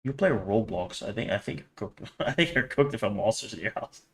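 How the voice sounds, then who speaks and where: A man's voice: fairly low pitch, animated, a little fast, a little hiss or hum. Casual guy reacting on a livestream. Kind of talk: casual live reaction commentary to chat.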